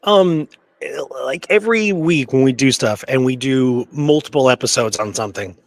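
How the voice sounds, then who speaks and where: A man's voice: medium pitch, animated, normal speed, no room sound. A man co-hosting a podcast in casual conversation, talking in an enthusiastic, rambling way. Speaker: podcast co-host. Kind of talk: casual chat.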